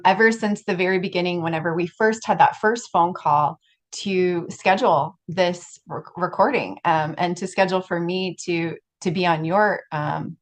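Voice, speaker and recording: Enthusiastic woman hosting a casual interview. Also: lowish voice. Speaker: podcast host, interviewer.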